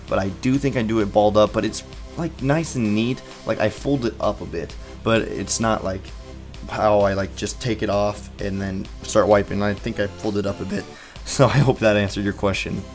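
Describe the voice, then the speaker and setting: Young male gamer host, rambly Q and A, lowish voice, faint music underneath. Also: fairly even intonation, normal speed. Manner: chatty, rambling answers with fillers. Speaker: gaming channel host. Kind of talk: casual Q and A video. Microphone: gaming headset mic.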